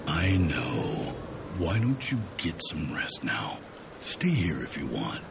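A man playing a steady, protective friend in a science fiction audio drama, serious and reassuring. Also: rumbling low, slow pace, creaky, background noise, exaggerated voice. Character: deep-voiced, protective, steady male friend.

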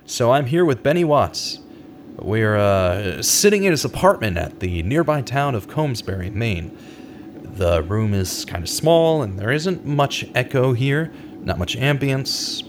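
Earnest, guilt-ridden man acting a journalist with expressive delivery. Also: fairly low pitch, background noise. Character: earnest guilt-ridden male investigative journalist in his thirties. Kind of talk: audio drama acting with narration.

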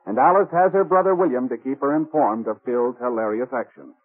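A man's voice: medium pitch, a little fast. An American man announcing for a 1950s radio network in a formal, polished scripted style. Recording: muffled vintage radio broadcast, faint music.

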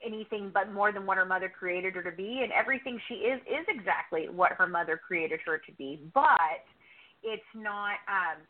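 A woman's voice: mid-range voice, moderate pace. Woman explaining over a tinny phone line. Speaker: podcast co-host as interview guest. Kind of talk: conversational interview answer, explanatory.

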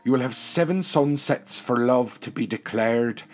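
A man voicing a kindly, solemn goddess of death, soothing and measured, in a storybook tale. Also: medium pitch, faint music behind, voice pushed up, natural voice. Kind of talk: storybook character acting.

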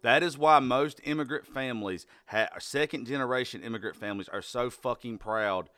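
A man's voice: medium pitch, fairly quick, no room sound, clean studio sound. A man in a casual opinion chat, talking bluntly and swearing heavily. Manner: blunt, forceful, heavy swearing.

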